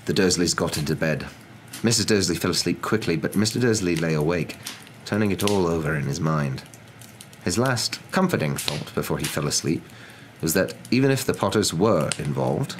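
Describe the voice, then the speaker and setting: A young man narrating a story in a soft, dramatic ASMR reading style. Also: a bass voice, lively intonation, moderate pace, background noise. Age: young adult.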